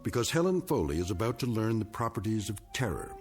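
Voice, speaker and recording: A male actor as a grave, ominous storyteller, narrating an eerie radio drama in a measured, portentous way. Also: lowish voice, sing-song. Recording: radio drama narration over prominent music.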